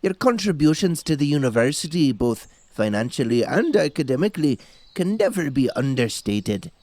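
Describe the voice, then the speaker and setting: A man's voice: fairly high pitch, very animated, normal speed, darkish tone, dry recording. A man playing a formal, courteous but condescending gatekeeper in a fantasy audio drama, with mannered speech. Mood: deferential.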